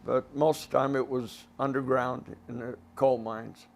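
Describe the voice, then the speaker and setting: An older man with a raspy voice, a former coal miner speaking plainly and indignantly in a documentary interview. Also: mid-range voice, flat delivery, unhurried. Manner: plain-spoken, indignant, rhetorical questions.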